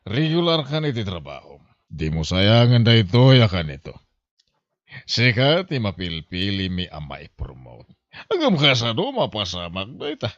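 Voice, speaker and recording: A man's voice: lowish voice, wildly swooping pitch, normal speed, no room sound. A man playing a stern, disappointed boss who lectures, in an amateur audio drama. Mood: stern, reproving. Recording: dull, dry lo-fi podcast audio.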